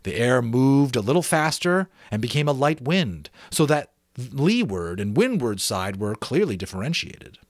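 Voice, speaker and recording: A man's voice: mid-range voice, unhurried, few pauses, dry recording, clean studio sound. A middle-aged man reading a story aloud as an amateur narrator, in a dramatic, theatrical style. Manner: theatrical, expressive.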